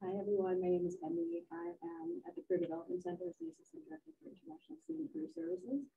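A woman's voice: on the low side, racing, vocal fry. A middle-aged woman from the Boston area, a university career services staffer, telling reflective stories on a panel. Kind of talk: casual panel discussion. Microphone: video call. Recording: dull video call audio.

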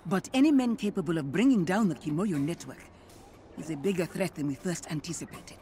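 A woman's voice: lowish voice, animated, fairly quick, warm tone, soft background music, lightly acted. An actress playing a stern female royal guard general in a video game, formal, blunt and restrained. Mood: blunt, grave. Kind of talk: video game cutscene acting.

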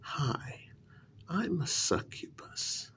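A man voicing a flirty female succubus in a whispered ASMR advert pitch, breathy, coy and teasing. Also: extremely slow, fairly bright tone. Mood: coy, seductive.

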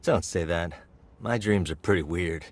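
A man's voice: low-pitched, normal speed. A man voicing a good-natured, goofy gang member in a video game, casual and drily comic. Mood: deadpan.

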